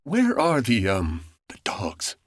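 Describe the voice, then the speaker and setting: Actor playing a jumpy, formal radio announcer, swooping voice. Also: male voice, mid-range voice, huge pitch swings, slow pace, very noisy. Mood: nervous. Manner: formal, courteous, flustered. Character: polite, nervous male radio announcer, easily alarmed. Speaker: announcer and interviewer. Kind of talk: old-time radio comedy acting.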